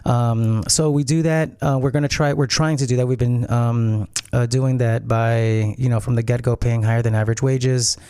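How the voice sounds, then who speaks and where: A man from Peru, a restaurant founder talking casually as an interview guest, pausing often with fillers and restarts. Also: medium pitch, flat delivery, dark tone, clean tone, no echo. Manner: hesitant, many fillers and restarts.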